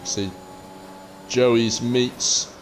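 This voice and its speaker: A man's voice: mid-range voice, steady tone, extremely slow, slight background noise. A man casually commentating a sim racing stream in a laid-back, matter-of-fact way, reading out viewer chat between updates. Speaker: esports race commentator.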